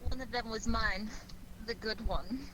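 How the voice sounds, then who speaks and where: A woman's voice: fairly high pitch, a little slow, natural voice. An actress playing a detective's sassy, teasing girlfriend in a 1940s radio drama, with a playful, wry manner. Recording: slightly noisy old 1940s radio recording.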